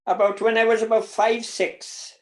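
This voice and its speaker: A man in his seventies talks as an interview guest. He recalls things casually, in a halting way, often repeating a word or phrase before carrying on. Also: high-pitched, flat delivery. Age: 70s. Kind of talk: casual recollection in conversation.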